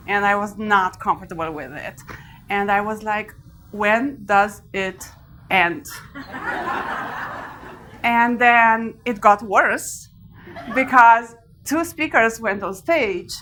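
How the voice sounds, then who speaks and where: A woman's voice: on the high side, slight background noise, poor audio. Laughing Romanian-accented woman speaker on stage. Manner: animated storytelling, laughs often. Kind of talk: live talk to an audience. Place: large event hall with an audience.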